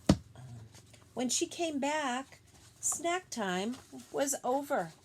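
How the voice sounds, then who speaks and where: A woman teacher reading a children's picture book aloud expressively, pausing to explain things gently and simply to young listeners. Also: mid-range voice, a little hiss or hum.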